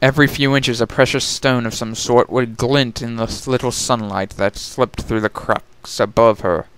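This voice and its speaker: A man's voice: mid-range voice, steady tone, moderate pace, fairly bright tone, slight background noise. A man narrating a fantasy story as a dramatic reading.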